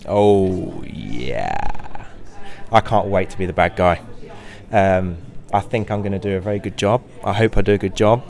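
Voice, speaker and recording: A man's voice: deep voice, lively intonation, a little slow, vocal fry, darkish tone. A man, an actor about to play his first pantomime role, answering questions in an upbeat, friendly and eager way. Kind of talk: casual interview chat.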